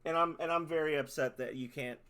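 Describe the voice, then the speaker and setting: A man, a streamer closing his stream in rambling, self-deprecating casual chat, his voice hoarse as he loses it. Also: fairly high pitch, brisk, fairly bright tone.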